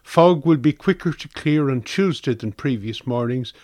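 A man's voice: medium pitch, lively intonation, moderate pace, darkish tone, no echo. A man with an Irish accent reads a scripted weather forecast for radio.

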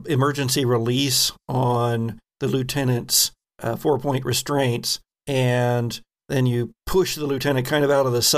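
A man serves as game master, improvising scene descriptions for a tabletop game. His pace is slow and unhurried, and his voice has a gravelly fry to it. He builds each description haltingly, piece by piece. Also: mid-range voice.

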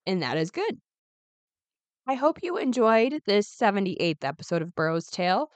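A woman in her twenties hosting her own podcast in a casual, chatty way, her voice still raspy from being unwell. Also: mid-range voice, very animated, normal speed, dry recording. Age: 20s. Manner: chatty, upbeat, many ums and asides. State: raspy, recovering voice. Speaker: podcast host. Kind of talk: casual solo podcast chat.

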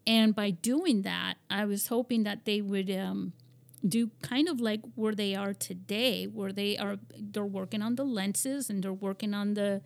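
A woman's voice: medium pitch, a little slow, creaky. A woman from Spain hosting an interview, rambling with many fillers and restarts. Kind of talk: conversational interview.

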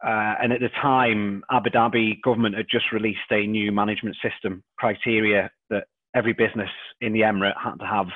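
A man in his thirties with a Liverpool accent, a podcast guest who talks haltingly and repeats words and fillers. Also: on the low side, little pitch movement, no echo. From England. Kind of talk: casual interview chat. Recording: dry tinny phone-like line.

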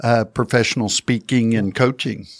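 A man's voice: medium pitch, steady tone, moderate pace, no echo, clean studio sound. A man co-hosting a podcast interview, affirming the guest and asking follow-up questions. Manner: affirming, asks follow-up questions, frequent "wow". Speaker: podcast co-host.

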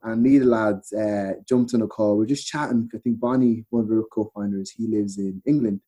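A man's voice: on the low side, fairly even intonation, fairly quick, warm tone, husky. A man with an Irish accent, chatting casually as a podcast guest and retelling events with quoted bits of dialogue. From Ireland. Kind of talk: casual chat, storytelling.

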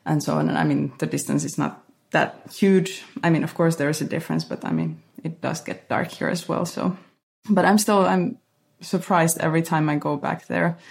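A woman from Finland, a choreographer, answering interview questions in a thoughtful, halting way with many restarts. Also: sits low, vocal fry. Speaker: guest choreographer. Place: Berlin.

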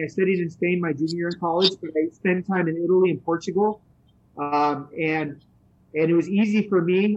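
Rambling high-voiced man, many fillers. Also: dull audio. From San Francisco area. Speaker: Spanish teacher. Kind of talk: casual interview answers.

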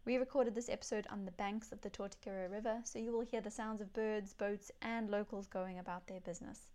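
A woman hosting a podcast, reading a clear, upbeat scripted introduction. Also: medium pitch, steady tone, brisk, dark tone. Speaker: podcast host.

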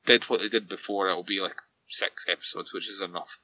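A slightly hoarse man co-hosting a podcast, chatting casually about TV, rambling and often laughing, recorded on a home microphone. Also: deep voice, steady tone, a little fast, bright, forceful tone, dry recording, highs cut off. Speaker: podcast co-host. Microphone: better home microphone.

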